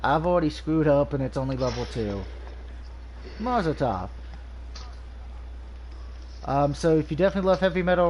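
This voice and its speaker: A man's voice: a little high, a little hiss or hum. A man with a nasal, stuffy voice from allergies, casually reviewing a video game over gameplay, rambling with frequent ums and restarts.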